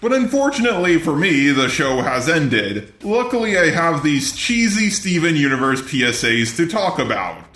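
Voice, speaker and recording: A man's voice: fairly high pitch, very animated, moderate pace, hardly any pauses, fairly bright tone, reverberant. A synthetic, robotic male voice delivering scripted commentary in a blunt, sardonic way, as a robot persona. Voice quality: synthetic robotic text-to-speech voice. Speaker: video commentator.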